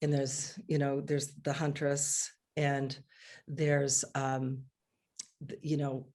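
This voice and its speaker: Middle-aged woman, low voice, animated singer guest on a video call. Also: takes it slowly. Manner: chatty, restarts often, animated. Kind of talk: casual video interview. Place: home living room.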